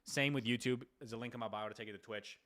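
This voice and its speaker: A young man with an American accent talks to his livestream audience. His delivery is casual and slangy, with swearing mixed in, and his pitch sits in a middle range. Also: fairly even intonation, quick pace, a bit bright. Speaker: livestream host and prank caller. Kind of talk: livestream chat.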